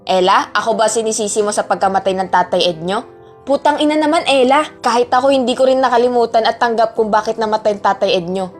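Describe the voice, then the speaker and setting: A woman's voice: fairly high pitch, steady tone, fast, faint music behind, natural voice. Woman acting as a stern, wounded Filipino mother. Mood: furious. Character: hurt, scolding Filipino mother of three. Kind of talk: amateur Tagalog radio drama acting. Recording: background soundtrack under the voice.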